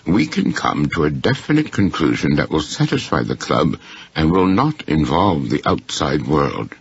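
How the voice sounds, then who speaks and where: A man voicing a courteous, shrewd, unflappable gentleman in a story, speaking formally and with deliberate precision. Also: very deep voice, big pitch swings, gravelly, breathy edge, dull audio, full character voice. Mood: calm, reasoning.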